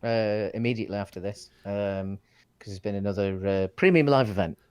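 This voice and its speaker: A man's voice: lowish voice, fairly quick, smooth. A man co-hosting a casual film discussion, thinking aloud in hesitant, circling phrases. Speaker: co-host of a film discussion show.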